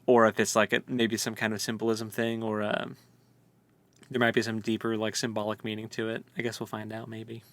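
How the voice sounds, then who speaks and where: A man's voice: lowish voice, monotone, a little fast, fairly bright tone. A man co-hosting a casual book discussion, speculating in loose, colloquial language. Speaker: co-host of a book read-along podcast.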